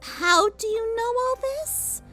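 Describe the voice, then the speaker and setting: A woman's voice: very high-pitched, slow, bright, forceful tone, soft background music, voice pushed up, full character voice. A woman voicing a curious, slightly nervous young filly in a storybook reading.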